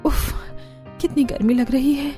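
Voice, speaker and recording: Woman doing high-voiced melodramatic young bride. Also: steady tone, a little slow, warm tone, soft background music. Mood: suffering, complaining. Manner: earnest, pleading, melodramatic. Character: devoted, dramatic young rich bride.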